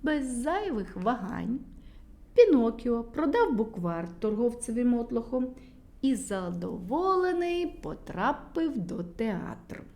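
Lively woman telling a fairy tale. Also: on the high side, slow pace. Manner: animated, addresses children directly. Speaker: storyteller. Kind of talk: children's fairy tale reading.

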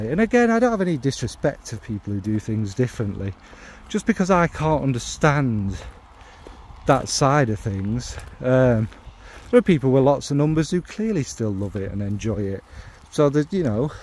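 A man chatting casually about his fragrance hobby while out walking the dog, rambling and wry as he questions himself. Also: mid-range voice. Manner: thinking out loud, wry and self-questioning. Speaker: fragrance video maker. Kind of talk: rambling walk-and-talk chat. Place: outdoors on a dog walk in the rain.

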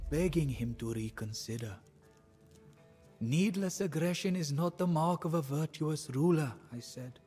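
An actor playing a sorrowful, devout adviser to an Indian emperor, narrating the story in a solemn, measured, theatrical way for a game. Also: male voice, fairly high pitch, dark tone, lightly acted. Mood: anguished, pleading. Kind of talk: dramatic scripted storytelling narration.

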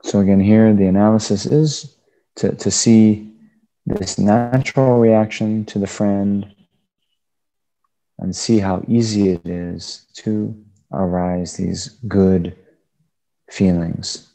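A man with a low, soft-edged, relaxed voice teaches a guided meditation. He gives gentle instructions off the cuff, in halting phrases, as a Buddhist teacher would to students. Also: creaky, poor audio.

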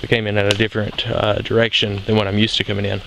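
A young man hosting an outdoor channel, explaining things in a relaxed way as he walks through a forest, recorded on a handheld camera. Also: lowish voice, fast talker, vocal fry, noisy background. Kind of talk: casual hiking narration.

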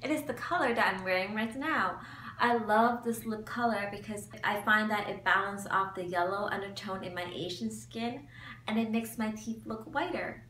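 A woman's voice: mid-range voice, moderate pace, a bit bright, far from the mic. A cheerful young woman presenting a beauty vlog in upbeat scripted narration, filmed against a plain wall in a small room. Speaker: beauty vlogger. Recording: echoey room, mic set back, faint hum.

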